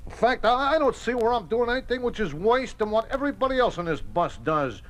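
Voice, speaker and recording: An American actor playing a folksy, defensive racetrack bookie in a 1950s radio drama, talking in streetwise slang with stammered repetitions. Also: male voice, extremely high pitch, sing-song, a little fast. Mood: explaining, self-justifying. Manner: streetwise slangy talk, hesitant repetitions, aggrieved. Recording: noisy old radio broadcast recording.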